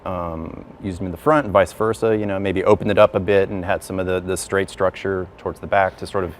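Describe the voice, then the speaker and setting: A man's voice: deep voice, flat delivery, brisk, a little hiss or hum. A man on a judging panel, giving a hesitant critique full of ums. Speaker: judge, visual director.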